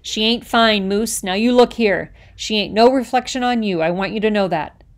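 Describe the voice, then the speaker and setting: A woman voicing a loud bullying small-town cop. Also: medium pitch, moderate pace, lightly acted. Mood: lecturing. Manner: loud, lecturing, rough folksy grammar. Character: blustering bigoted middle-aged male island policeman. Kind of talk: audiobook character reading.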